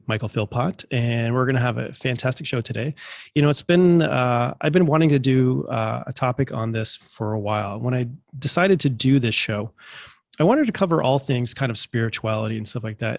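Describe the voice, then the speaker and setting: A man's voice: mid-range voice, dry recording, studio quality. Congested, enthusiastic male podcast host. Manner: enthusiastic, chatty with many fillers. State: congested from allergies. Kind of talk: casual interview.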